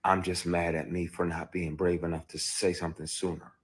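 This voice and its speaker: A man with a very deep, husky voice tells his own story candidly. He is blunt and emphatic and swears freely as he talks. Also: little pitch movement, a little fast. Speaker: actor.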